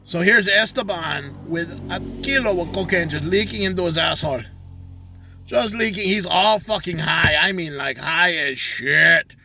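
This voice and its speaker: A man's voice: high-pitched, measured pace, gravelly, sharp tone, a little hiss or hum, exaggerated voice. A man voicing a crude, boastful male donkey handler, rambling about himself in the third person in a comic monologue. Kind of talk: comedic character monologue.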